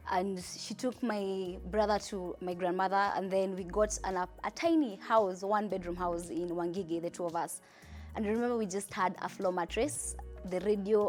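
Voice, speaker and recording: A young Kenyan woman with a Kenyan accent, telling her life story in a chatty, animated interview. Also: medium pitch. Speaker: entrepreneur.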